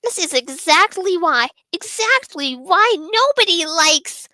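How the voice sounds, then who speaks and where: A woman voicing a feisty, sharp-tongued schoolgirl in a visual novel, snappy and confrontational. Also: extremely high pitch, expressive, slow pace, dry recording, voice pushed up, heavily acted. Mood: indignant.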